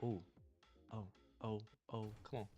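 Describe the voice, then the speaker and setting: A man's voice: lowish voice, level tone, measured pace, warm tone, dull audio, soft background music. A young man streamer chatting casually to his live chat in slangy, laid-back talk while opening trading cards. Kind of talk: casual livestream card-opening commentary.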